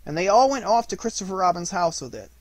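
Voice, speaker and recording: A man's voice: sits high, animated, fast, no room sound. A man narrating a children's storybook from a script as an audiobook reader.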